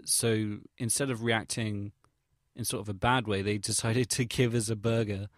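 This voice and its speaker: A young English man with a fairly low voice talks in a casual audio log, recorded close and dry. He rambles hesitantly through his recollections, using slangy, sweary, self-deprecating wording. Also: fairly even intonation, normal speed, no room sound. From England. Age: young adult. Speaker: vlogger.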